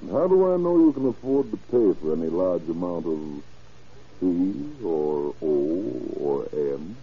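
A sly drug-dealing boss in an old radio play. The voice is deep and rough-grained, with very lively inflection and an unhurried, falsely genial air. The sound is dull, like an aged broadcast. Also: male voice, a little hiss or hum, full character voice. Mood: sly, boastful. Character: shrewd, smooth-talking crime boss, drug seller. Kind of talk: 1940s radio drama acting. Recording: muffled old radio recording.

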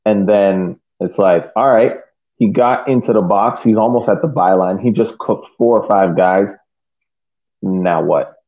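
A man co-hosting a casual sports podcast, thinking aloud haltingly as he analyses play, heard over a tinny phone-like connection. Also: lowish voice. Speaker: podcast co-host.

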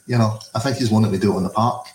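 Give me a rambling Scottish co-host in a roomy space with faint background noise. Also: male voice, fairly low pitch, steady tone, racing, a little mellow. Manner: rambling, repeats words, many you-knows. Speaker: podcast co-host. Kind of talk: casual football podcast chat. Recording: echoey room with faint ambience.

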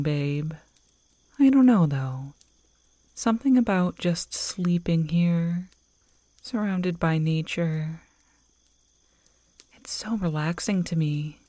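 A woman playing an affectionate, playful girlfriend in a soft, intimate ASMR audio roleplay, close to the microphone. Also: fairly low pitch, dry recording. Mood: playful, teasing. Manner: intimate, teasing, slow and cosy. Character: affectionate, playful werewolf girlfriend on a camping trip. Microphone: close microphone, dry.

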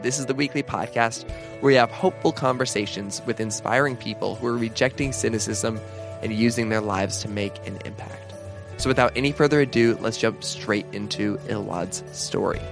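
A man's voice: lowish voice, creaky. A man hosting a podcast, reading an earnest introduction and then asking questions in a casual interview. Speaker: podcast host.

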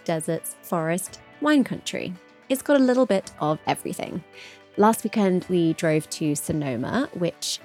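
A woman's voice: fairly low pitch, a little mellow. A young woman hosting a travel podcast interview in an upbeat manner, laughing often. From United Kingdom. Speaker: podcast host.

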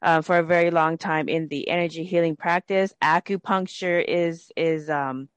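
A woman hosting a casual interview, an energy healing practitioner who speaks in a measured, reflective way. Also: deep voice, steady tone, no echo.

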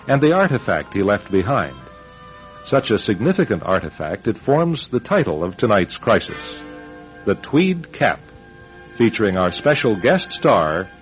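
A man hosting and narrating a radio drama from a script, dramatic and deliberate. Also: lowish voice. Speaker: host and narrator. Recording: dull, lo-fi radio broadcast. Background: music.

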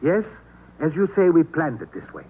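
An actor playing a polite, menacing saboteur in 1950s radio thriller style, speaking formally and precisely with cool threat. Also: male voice, fairly high pitch, big pitch swings, normal speed, husky. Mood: smooth menace. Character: polite, menacing male saboteur with a briefcase bomb. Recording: dull, noisy old radio recording.

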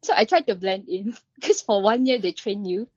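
A woman's voice: mid-range voice, moderate pace, a little mellow, dry recording, dull audio. A woman from the Philippines calling in on the phone, with a Singaporean English accent mixed with Filipino, hesitant and switching languages. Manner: code-switches, hesitant, laughs often. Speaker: caller. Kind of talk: casual call-in chat. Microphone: phone call.

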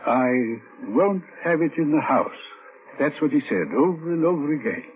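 A man's voice: fairly high pitch, lively intonation, normal speed, natural voice. An actor playing an emphatic, direct male neighbor giving testimony in old-time radio drama style. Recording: tinny vintage radio recording.

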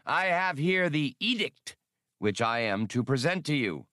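A man's voice: a little high, sing-song, a little slow, sharp tone, no room sound, studio quality, voice pushed up, heavily acted. A man voicing a tall alien police inspector in an audiobook, officious and pompous with forced heartiness. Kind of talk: audiobook character acting.

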